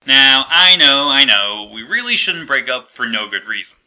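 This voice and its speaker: Man acting a whiny, bright-voiced jilted partner. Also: mid-range voice, animated, normal speed, poor audio. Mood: awkward, hesitant. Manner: hesitant, conversational, whiny and aggrieved. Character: American colonies as fed-up, awkward jilted partner leaving voicemail. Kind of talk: comedic monologue as a breakup voicemail.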